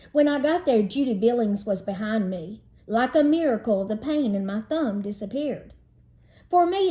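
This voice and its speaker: A woman narrating a children's storybook in an expressive, dramatizing read-aloud style. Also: a little high.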